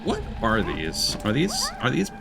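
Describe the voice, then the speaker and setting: A man's voice: mid-range voice, wildly swooping pitch, unhurried, vocal fry, background noise. A man giving casual let's play commentary as a game streamer. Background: game music.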